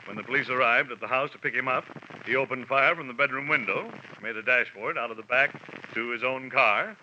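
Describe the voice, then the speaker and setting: An actor playing a pompous, blustering middle-aged insurance manager in a 1940s radio drama, talking gruffly and haltingly. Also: male voice, mid-range voice, pushed and bright. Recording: muffled old radio recording with faint hiss.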